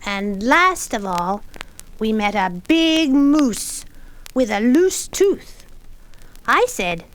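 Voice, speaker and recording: A woman's voice: on the high side, very animated, extremely slow, full character voice, poor audio. A woman voicing a cheerful, giggly small boy puppet who tells and sings a story for children. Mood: chatty. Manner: giggly, sing-song. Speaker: children's show character. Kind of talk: sung and told story for children. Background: children's music.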